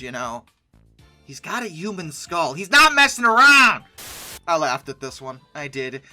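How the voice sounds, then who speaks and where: Bright, animated sarcastic commentator. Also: mid-range voice. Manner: sarcastic, exasperated. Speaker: host of a commentary video. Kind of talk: humorous reaction commentary.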